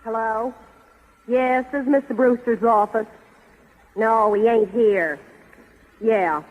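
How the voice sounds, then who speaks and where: An actress playing a brash, sassy, disgruntled secretary with slangy delivery in a 1930s radio comedy. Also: female voice, a little high, slow, phone-quality sound. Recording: reverberant old radio broadcast.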